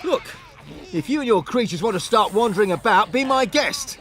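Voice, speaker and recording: An actor voicing a tired, blunt guard at a refugee camp in a video game. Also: male voice, high voice, expressive, moderate pace, a bit bright. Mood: gruff. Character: weary male refugee-camp guard, gruff. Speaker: game character.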